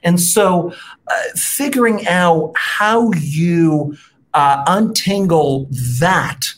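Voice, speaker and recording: A guest expert in a political interview, speaking in a measured way, citing research and adding frequent "right?" tags. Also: mid-range voice.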